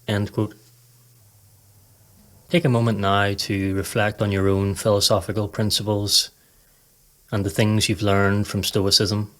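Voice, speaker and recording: A man narrating a scripted guided meditation in a calm, measured, instructive way. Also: on the low side. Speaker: podcast host and meditation guide.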